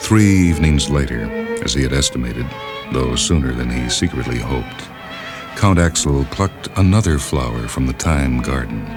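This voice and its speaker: A man reading a literary short story as narrator, in a measured, grave and dramatic manner. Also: a bass voice, lively intonation. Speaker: narrator and reader. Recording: music behind the narration. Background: orchestral music.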